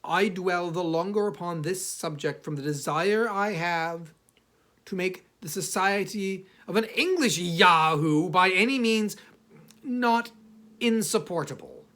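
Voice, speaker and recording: A man playing a haughty, misanthropic older traveller narrating his memoir, with halting, theatrical delivery and emphatic pauses. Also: sits high, pushed and bright. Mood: haughty, pompous. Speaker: audiobook reader. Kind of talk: dramatic first-person literary narration.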